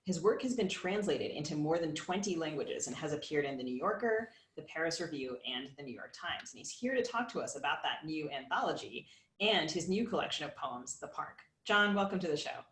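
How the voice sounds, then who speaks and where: Woman, low pitch, echoey room, articulate, wry, podcast co-host. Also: lively intonation, brisk, a bit bright. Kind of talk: podcast hosting, introductions and questions.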